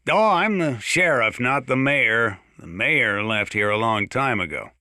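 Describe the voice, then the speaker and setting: An actor playing a gruff, plain-spoken old small-town mountain sheriff with an American accent, talking over the radio in a video game. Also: male voice, mid-range voice, animated, sharp tone, no room sound, studio quality. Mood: grim, resigned. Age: older. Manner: folksy, plain-spoken, drawn-out hesitations. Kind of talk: in-game radio broadcast monologue.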